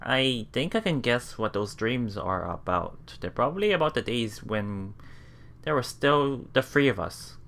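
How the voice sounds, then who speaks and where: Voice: medium pitch, expressive, measured pace, creaky, a bit bright. A let's player reading visual novel text aloud and musing casually on it as they go. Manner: musing, often thinking aloud.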